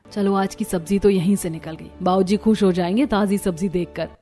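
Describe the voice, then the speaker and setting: A woman plays a gentle, dutiful village housewife in a cartoon story. She speaks in a homely, helpful way with soft music behind her. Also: mid-range voice, steady tone, a little fast, lightly acted. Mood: quiet, content. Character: gentle, dutiful village elder daughter-in-law. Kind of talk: Hindi cartoon story dialogue.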